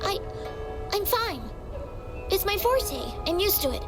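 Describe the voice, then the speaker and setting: An actress playing a frightened, guarded young girl in a video game, with an urgent, halting delivery. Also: extremely high pitch, sing-song, over music, exaggerated voice. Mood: distressed, guarded. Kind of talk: dramatic video game voice acting.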